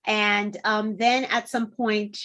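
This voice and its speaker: A woman in her forties, originally from Puerto Rico, speaking as an interview guest. Her voice sits at a middle pitch. She explains her points patiently and in a friendly way, with plenty of ums. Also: little pitch movement, slow, sharp tone. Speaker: guest, content marketing consultant. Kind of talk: interview answers.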